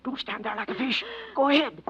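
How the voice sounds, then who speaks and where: An American actor playing a nervous, uneducated, miserly working-class husband in an old radio drama, stammering and rough-spoken. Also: male voice, extremely high pitch, brisk, noisy background. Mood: panicked, hushed. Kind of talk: 1940s-50s American radio drama acting. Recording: dull lo-fi vintage radio recording.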